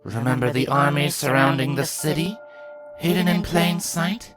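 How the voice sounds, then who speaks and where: A man's voice: on the high side, moderate pace, over music, voice pushed up, close to an everyday voice. A man voicing a spiteful, grieving changeling queen in a fantasy story reading, with a theatrical, menacing delivery. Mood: smug, taunting.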